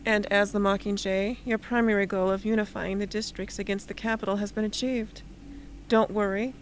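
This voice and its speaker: A stern woman in command of rebels played by an actress talks in a formal, clipped manner. Her mid-pitched radio drama voice is unyielding, recorded with a little noise. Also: steady tone, normal speed, lightly acted. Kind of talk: amateur radio play acting. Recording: noisy amateur recording.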